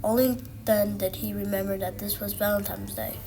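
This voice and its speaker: Female storybook reader, medium pitch, occasional stumbles and repeats, music underneath. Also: steady tone, normal speed. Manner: stumbles over words, repeats them. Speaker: student reader. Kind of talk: reading a children's storybook aloud. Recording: music playing behind the voice.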